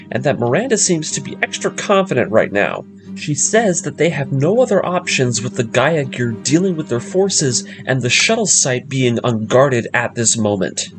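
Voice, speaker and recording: A man narrating an episode-by-episode story breakdown as host, moving between scripted recap and casual commentary. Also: mid-range voice.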